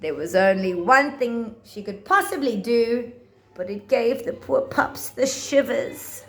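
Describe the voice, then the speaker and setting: A woman reading rhyming picture books aloud to young children with theatrical, deliberate phrasing. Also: on the high side, animated. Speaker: children's storybook reader.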